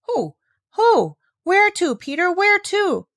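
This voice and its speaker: Storybook grandmother, played by a woman: elderly, fretful, high-pitched, loud and pleading. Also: very animated, takes it slowly, no echo, studio quality, exaggerated voice. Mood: alarmed, agitated. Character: frightened, agitated old blind grandmother.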